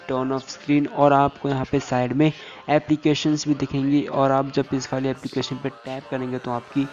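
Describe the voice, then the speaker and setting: Even-toned man giving a tech tutorial. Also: mid-range voice, muffled recording. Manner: repetitive instructional phrasing, English tech terms mixed in. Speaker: tech YouTuber. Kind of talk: step-by-step phone feature tutorial.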